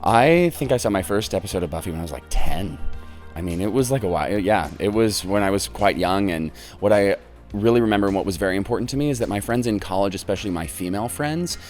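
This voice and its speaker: A young man, a stage actor, talking in a relaxed, thoughtful way in an interview. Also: fairly low pitch, fast talker, soft background music. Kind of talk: casual interview.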